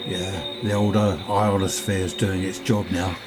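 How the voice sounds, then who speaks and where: A man's voice: deep voice, little pitch movement, unhurried, music in the background. A British man giving a casual, rambling, off-the-cuff demonstration as an amateur radio hobbyist in a home radio room. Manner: rambling, conversational. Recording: noisy room, radio static behind.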